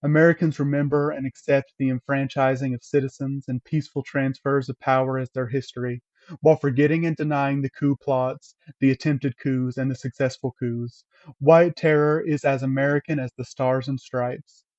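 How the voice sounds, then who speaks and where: Man reading an essay, measured and even, muffled dry sound. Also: mid-range voice, no room sound. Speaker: reader at a church table reading.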